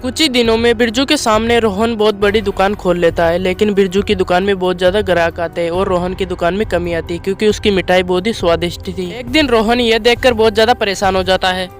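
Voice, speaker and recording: High-pitched male story narrator. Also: fairly even intonation, a little fast, hardly any pauses. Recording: music behind the voice.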